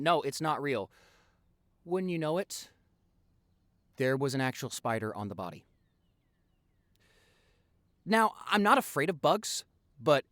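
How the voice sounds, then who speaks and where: A man's voice: a little high, sharp tone, no echo, studio quality, full character voice. A man playing a playful young boyfriend in a roleplay audio, rambling through a story in a scatterbrained, stammering way. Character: playful, rambling young boyfriend telling childhood prank stories.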